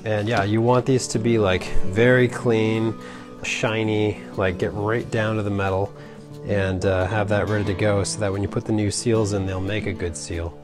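A relaxed man in his thirties with a fairly low voice walks through a repair in a basement workshop, talking loosely with frequent "uh" and "like". Music runs clearly underneath his voice. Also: normal speed. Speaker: sailing vlogger. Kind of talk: casual how-to vlog.

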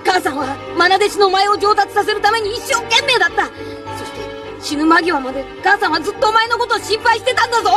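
A woman's voice: extremely high pitch, animated, fast talker, distant mic, music in the background. A woman voicing an earnest, defiant young boy in an anime, blunt and emotional. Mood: earnest, upset. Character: earnest, defiant young boy cook defending his mother's restaurant. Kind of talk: anime dramatic acting.

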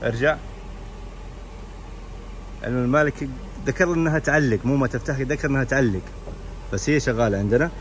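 A man's voice: medium pitch, brisk, pauses often. A man you would hear at an indoor car auction, rattling through a vehicle walk-around over faint ambient noise. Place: large indoor car showroom and workshop.

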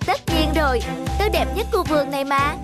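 Lady doing a snooty cartoon flower, high voice, braggy and mocking, loud music under it. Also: fairly quick, lightly acted. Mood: smug. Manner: boastful, teasing. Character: vain, mocking big yellow chrysanthemum flower. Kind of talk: children's cartoon acting. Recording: loud children's music behind.

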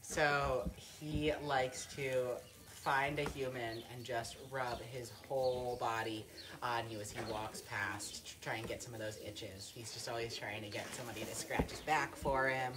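A woman's voice: rumbling low, steady tone, a little slow, noisy background. A woman hosting a casual live stream from an outdoor barnyard, chatty with many fillers and some laughter. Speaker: sanctuary livestream host.

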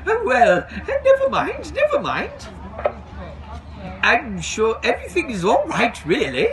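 A man's voice: very high-pitched, wildly swooping pitch, slow, crowd noise, voice pushed up, full character voice. A man voicing a large, posh, gushing lady with a hooting manner in a storybook reading outdoors. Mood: soothing, flustered. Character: large posh well-bred lady, hooting, patronisingly soothing. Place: outdoors at a railway. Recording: outdoors with background bustle.

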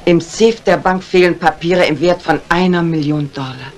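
An actress playing a brisk, commanding mistress of a house in a 1950s radio crime drama, decisive and imperious. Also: female voice, lowish voice, lightly acted. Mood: indignant. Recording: noisy vintage radio recording.

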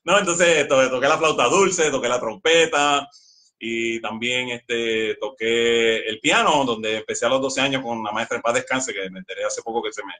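A middle-aged Puerto Rican man with a Puerto Rican accent talks as a guest in a loose, exuberant way. His voice has a bright, forceful edge. He is picked up at a distance by a camera in a small room. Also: medium pitch, moderate pace. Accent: Puerto Rican Spanish. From Puerto Rico. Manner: exuberant, laughs often. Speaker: musician guest. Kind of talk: casual interview chat. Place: small indoor studio room.

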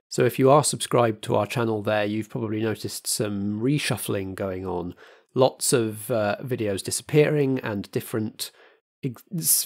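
A man's voice: mid-range voice, darkish tone. A man, acting as the show's theatrical compere and reading out announcements in a camp, luvvie manner. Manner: camp, luvvie stage host. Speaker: podcast compere.

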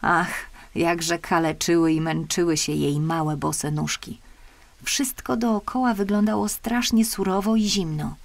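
Low, creaky-voiced woman storyteller. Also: a little slow. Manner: expressive storytelling. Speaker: audiobook narrator. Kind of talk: scripted children's story narration.

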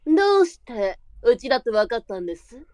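A woman's voice: on the high side, sing-song, a little fast, darkish tone, dry recording. A woman playing a composed, shrewd brothel madam in a Japanese period audio drama, polite and coolly businesslike. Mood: cool, probing.

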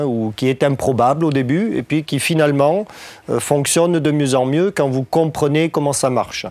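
A man's voice: mid-range voice, a little mellow. A man in his forties with a light southwestern French accent leads a farming workshop, talking easily to his audience with many fillers. His voice is dry and close on a lapel mic, with no room echo. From southwest France. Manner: conversational, many fillers and tag questions. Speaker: farm trainer. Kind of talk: training workshop talk.

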